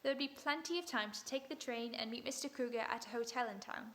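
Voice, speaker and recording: A woman playing a composed, sharp detective in an old-time radio drama, cool and in command. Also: a little high, quick pace, very noisy, lightly acted.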